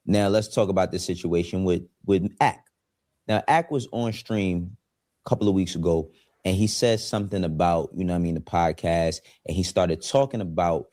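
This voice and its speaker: A man in his forties hosts his own online show in a laid-back, streetwise way. His voice sits very low. The recording is dry and close. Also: dark tone, dry recording. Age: 40s. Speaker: YouTube show host. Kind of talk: casual commentary.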